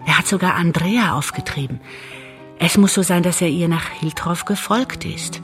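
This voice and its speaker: Casting: actress for bitter, resigned woman in a radio-play confession, deep female voice, intimate monologue over music. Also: moderate pace, a bit bright, lightly acted. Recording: music underneath the voice.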